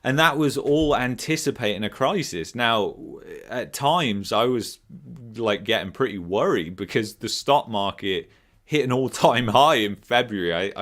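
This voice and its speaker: A man hosting a live finance question-and-answer stream, hoarse from a sore throat, rambling, losing his thread and chuckling as he talks. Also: lowish voice, a bit bright. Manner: rambling, loses his train of thought, chuckles often. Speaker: finance channel host.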